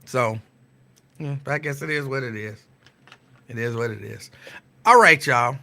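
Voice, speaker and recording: Bright-voiced man chatting casually. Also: medium pitch. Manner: relaxed, rambling, drawn-out repeats. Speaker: show host. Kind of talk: casual live-stream chat.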